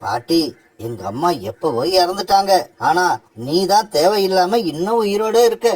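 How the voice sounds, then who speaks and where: ஆண் நடிக்கும் கரகரப்பான, அடாவடி ஆண் காகம். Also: male voice, high voice, normal speed. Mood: mocking. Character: callous bullying male crow, mocking thief. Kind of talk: animated moral story dialogue.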